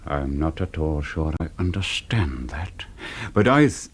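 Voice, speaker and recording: A formidable elderly father in a radio mystery. His voice is very low and husky, and he chooses his words slowly and formally, with a sinister, silky politeness. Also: lively intonation, exaggerated voice. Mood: guarded, courteous. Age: older. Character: strong, courteous, sinister elderly father in old house. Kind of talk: radio drama dialogue.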